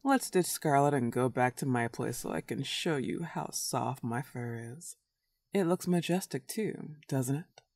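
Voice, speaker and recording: A woman's voice: extremely low pitch, a little mellow, no room sound, exaggerated voice. Woman playing a smug werewolf girl, close and dry. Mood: boastful, coaxing. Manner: taunting, drawn-out teasing and cooing. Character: smug, clingy, lovesick female werewolf. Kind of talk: comedic roleplay audio drama.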